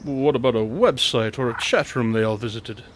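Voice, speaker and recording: A man's voice: medium pitch, normal speed, slight background noise, close to an everyday voice. A man playing a composed, inquisitive detective in an audio drama, questioning in a dry, slightly formal, courteous way. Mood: musing, probing.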